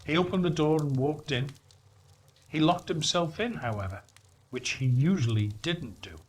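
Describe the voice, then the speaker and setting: Slow, deliberate male storybook narrator. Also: medium pitch, fairly bright tone, breathy edge. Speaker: story reader.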